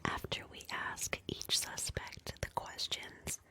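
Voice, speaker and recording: Whispering woman, slow ASMR host. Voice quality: breathy whisper. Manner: softly deliberate, instructive, step-by-step. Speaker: livestream host of a murder mystery roleplay. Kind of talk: whispered ASMR roleplay narration with audience prompts.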